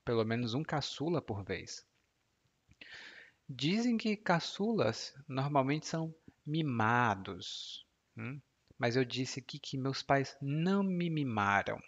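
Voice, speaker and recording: A man from Ceará in his thirties with a Brazilian Portuguese accent, a teacher patiently explaining vocabulary in a lesson with soft asides. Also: mid-range voice, highs cut off.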